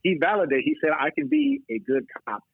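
A man talking about policing as a podcast guest, telling stories fast and emphatically with quoted exchanges. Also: sits high, sing-song, creaky, husky, no room sound. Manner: fast, emphatic storytelling with quoted back-and-forth. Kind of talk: casual podcast chat. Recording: tinny phone-line audio.

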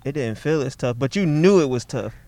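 A man's voice: medium pitch, a little fast, no room sound. A young comedian from the Detroit area, chatting casually in an interview and acting out the people in his stories. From Detroit area, Michigan. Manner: animated storyteller, acts out voices, laughs often. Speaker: comedian guest.

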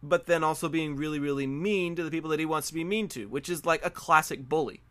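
A man with a slightly high voice talks casually as a podcast co-host. He repeats words for emphasis and adds trailing asides. The sound is close and dry, with no room echo. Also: fast talker, fairly bright tone. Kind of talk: casual pop-culture discussion.

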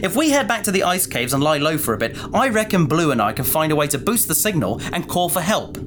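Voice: medium pitch, sing-song, quick pace, background noise, lightly acted. An actor playing a caring, practical space adventurer in a sci-fi audio drama, with an earnest, reasoning way of talking.

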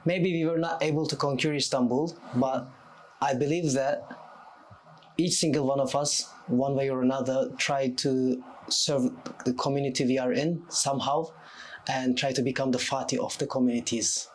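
A man's voice: mid-range voice, steady tone, moderate pace, slight background noise, rough recording. Young Turkish-accented man, mid-range voice. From Turkey. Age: 20s. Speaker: podcast guest. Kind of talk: conversational interview answers.

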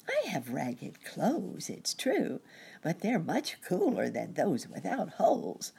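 A carefree ragged gentleman cricket out of a children's storybook, put on by a woman. The voice chirps high and cheerful, with a very husky edge and animated pitch. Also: exaggerated voice.

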